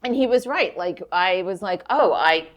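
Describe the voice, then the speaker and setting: Woman, slightly low voice, guest comedian, casual conversational storytelling. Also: lively intonation, normal speed, slight background noise.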